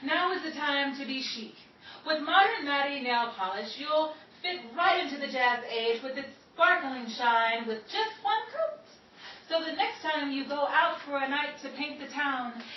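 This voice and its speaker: A woman playing a chic, peppy 1930s radio announcer pitching beauty products in a vintage advertisement. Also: animated, measured pace, echoey room, distant mic, dull audio, a little hiss or hum. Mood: selling smoothly.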